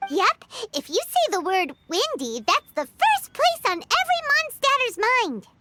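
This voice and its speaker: A woman voicing a proud, prickly young girl in a video game, haughty and grudging but secretly soft-hearted. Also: extremely high pitch, very animated, measured pace, loud music behind the voice, full character voice. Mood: matter-of-fact.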